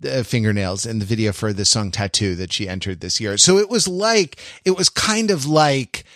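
A man's voice: lowish voice, animated, normal speed, fairly bright tone, no room sound. Man talking casually, close and dry. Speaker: podcast host. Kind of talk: casual pop-culture discussion.

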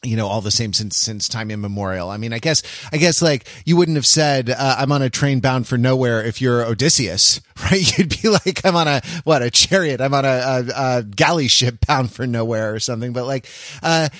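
Guy on a podcast panel, halting and rambly, working out ideas, close dry sound. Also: medium pitch, a bit bright, no echo. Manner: halting, rambling, thinking aloud. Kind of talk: casual analytical discussion.

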